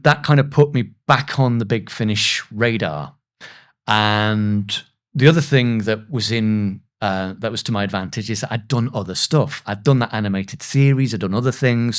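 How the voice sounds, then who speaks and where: Man, thirties, casual interview guest, chatty, self-deprecating storyteller. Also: fairly low pitch. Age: 30s. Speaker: guest, voice actor.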